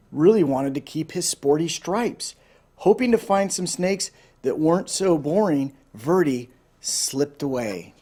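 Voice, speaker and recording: Male storyteller, somewhat high voice, informal read-aloud for children, speaking straight to listeners. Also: darkish tone, breathy edge. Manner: informal, addressing listeners directly. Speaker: volunteer storyteller.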